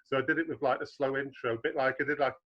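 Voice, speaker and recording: A man with a low baritone voice, a guest author in a podcast interview, explaining at length with homely comparisons. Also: steady tone, very fast, dull audio.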